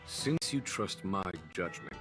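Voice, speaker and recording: Deep, composed male general. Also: lively intonation, slow, music in the background. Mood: measured. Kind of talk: video game story dialogue.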